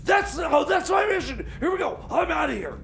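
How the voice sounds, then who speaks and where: A man in a comedy radio show, excitable and stammering, often breaking into shouts. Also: very high voice, moderate pace, vocal fry. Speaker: comedy co-host.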